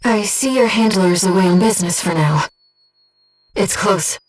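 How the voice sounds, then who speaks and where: A woman voicing an earnest, gentle guide who speaks inside a pilot's head in a mecha video game, in measured scripted delivery. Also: medium pitch, recorded from a distance, soft background music, close to an everyday voice, poor audio. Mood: calm, focused. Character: soft-spoken, earnest female voice in a pilot's head, loyal guide. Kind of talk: scripted video game voice acting, tactical callouts.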